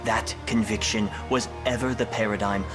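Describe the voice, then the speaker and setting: A man's voice: on the low side, steady tone, normal speed, music in the background, lightly acted. Man playing an earnest, noble young knight. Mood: earnest, encouraging. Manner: courteous, formal archaic speech. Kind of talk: dramatic fantasy video game acting.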